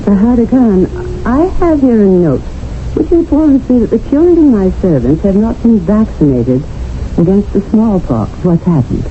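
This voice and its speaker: An actress playing a brisk, businesslike English lady of the house. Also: mid-range voice, lively intonation, slight background noise, lightly acted. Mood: concerned. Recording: tinny phone-like radio sound.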